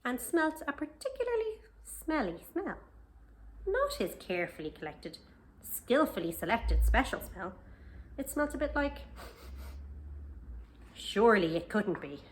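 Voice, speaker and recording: A woman reads a children's story aloud with a very lively, swooping pitch. She stretches key words for emphasis and turns to young listeners with questions. Some background noise sits behind her voice. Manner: expressive, questioning, drawn-out emphasis. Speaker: library storytime reader. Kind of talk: children's picture-book read-aloud with asides to young listeners.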